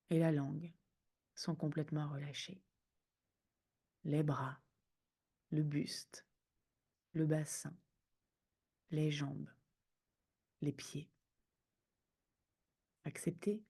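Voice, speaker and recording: A woman guiding a sleep meditation in a soothing, hushed manner, speaking in unhurried phrases with pauses. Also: deep voice, level tone, gravelly, slightly rough. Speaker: yoga nidra guide.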